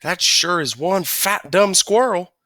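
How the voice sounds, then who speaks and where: A man's voice: sits high, slow, bright, forceful tone, no room sound, studio quality, pitched above the performer's own voice, heavily acted. A man putting on an eager Arkansas country boy. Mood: eager, chatty. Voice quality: folksy country drawl, eager jabbering. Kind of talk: audiobook character voice.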